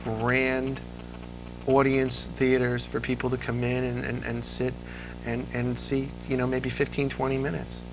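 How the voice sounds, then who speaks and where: A man's voice: mid-range voice, monotone, measured pace, music in the background, rough recording. A man being interviewed as an old-time radio fan, talking with enthusiasm and frequent restarts. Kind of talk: casual interview chat.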